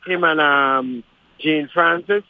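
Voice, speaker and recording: A man's voice: fairly high pitch, slow, no room sound, phone-quality sound. A man recalling his radio days in a chatty way, with lots of yeahs between stories. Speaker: guest, former radio broadcaster. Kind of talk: casual reminiscing chat.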